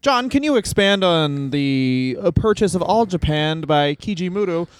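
A man's voice: a little high, animated, measured pace, clear, resonant tone. A man co-hosting a comedy podcast, talking casually in a rambling way, full of "like.". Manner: rambling, heavy use of "like". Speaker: podcast co-host.

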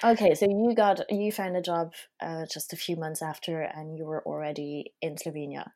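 A woman's voice: low-pitched, fairly even intonation, normal speed, mellow. A woman hosting a podcast, speaking in the style of a casual interview with introductions. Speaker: podcast host.

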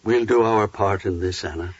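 A man's voice: lowish voice, moderate pace, slightly rough, dry recording. An actor playing a troubled, dutiful older father in a biblical 1940s radio drama, grave and weighty. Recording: muffled 1940s radio recording.

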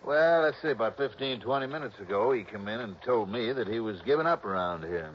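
An actor playing an easygoing police captain in a 1960s radio drama, talking in an unhurried, folksy, musing way. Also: male voice, mid-range voice, animated, close to an everyday voice. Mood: matter-of-fact. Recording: dull, noisy old radio recording.

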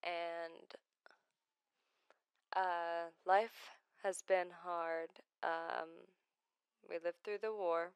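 A woman in her twenties from Iraq talks about her life in a personal vlog, gentle and hesitant, with many pauses and restarts. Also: fairly low pitch, level tone, smooth, no room sound. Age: 20s. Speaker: vlogger.

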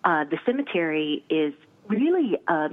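A woman's voice: sits low, big pitch swings, normal speed. A woman from Nashville with a Southern accent, a cemetery tour guide chatting casually and laughing often. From Nashville, Tennessee. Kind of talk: casual chat. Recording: tinny phone line.

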